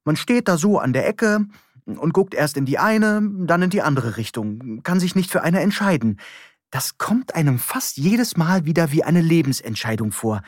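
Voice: medium pitch, lively intonation, normal speed, no echo, clean studio sound, voice pushed up, lightly acted. A narrator voicing a nervous, chatty middle-aged man, a former postman who rambles eagerly. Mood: rambling. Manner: chatty, fussy, eager to please. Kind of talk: audiobook character voice.

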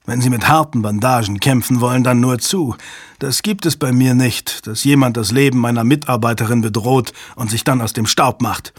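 A man acting a stern older military-style commander, husky, dry close recording, firm, authoritative, audiobook drama. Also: medium pitch, normal speed, no echo, natural voice. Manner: firm, commanding, clipped orders. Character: steely older male commander, resolute and authoritative.

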